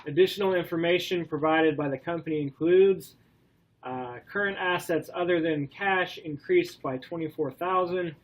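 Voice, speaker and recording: A man with a head cold lectures a class, his voice stuffy and nasal. He speaks a little higher than most men and keeps a loose, relaxed pace. He fills pauses with ums and keeps asking the students questions. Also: rough recording. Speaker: college instructor.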